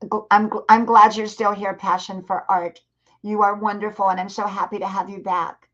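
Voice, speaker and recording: A woman's voice: mid-range voice, flat delivery, moderate pace, a bit bright, dull audio. A woman in her sixties chatting casually on a live stream, answering viewers and giving encouragement, often repeating herself. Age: 60s.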